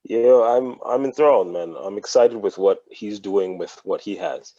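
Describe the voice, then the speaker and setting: Deep-voiced earnest man guest. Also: normal speed, dark tone, no room sound, lo-fi sound. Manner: earnest, thoughtful, repeats words while thinking. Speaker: podcast guest. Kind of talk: casual podcast chat.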